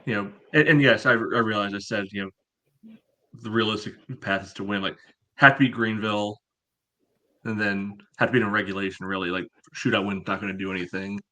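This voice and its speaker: A man's voice: lowish voice, steady tone, a little fast, sharp tone. A man co-hosting a casual soccer podcast, giving opinionated, analytical takes in a conversational way. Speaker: podcast co-host.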